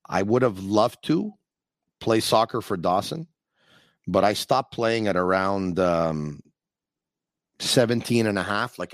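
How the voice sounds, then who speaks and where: A man hosting a sports call-in podcast, chatting in a rambling, animated way. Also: fairly low pitch, no room sound, clean studio sound. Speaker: podcast host. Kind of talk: casual call-in show chat.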